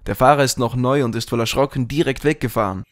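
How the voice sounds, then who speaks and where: Casting: man as glib, shady grill owner in an audio-drama parody, mid-pitched voice. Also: fast talker, lightly acted. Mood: reassuring. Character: smooth-talking kebab grill owner, secretly conspiring henchman.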